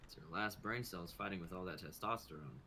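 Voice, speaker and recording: A man's voice: low-pitched, brisk, pushed and bright. A young adult man acting out casual dialogue in a dramatic script reading. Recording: noticeable background noise, online stream.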